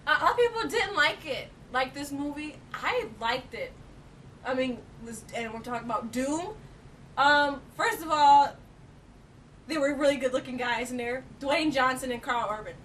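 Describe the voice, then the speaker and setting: A woman's voice: lively intonation, normal speed, a bit bright. A woman co-hosting a casual, chatty review conversation. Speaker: co-host reviewing films. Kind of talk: casual chat. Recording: distant mic, faint noise and rumble.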